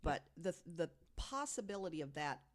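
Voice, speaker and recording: A podcast guest in relaxed discussion, with a voice of medium pitch. The delivery is that of an earnest storyteller making a case point by point. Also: moderate pace.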